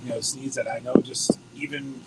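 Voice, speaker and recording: An American man interviewing a band at a bowling alley venue, upbeat and joking, with a loud voice. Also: mid-range voice, little pitch movement, normal speed. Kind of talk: casual interview. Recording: noisy location recording.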